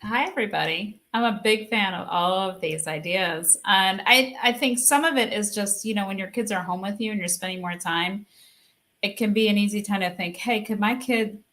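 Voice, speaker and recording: A woman's voice: mid-range voice, a bit bright. A middle-aged woman speaking casually as a panelist, sharing parenting tips and acting out bits of dialogue conversationally.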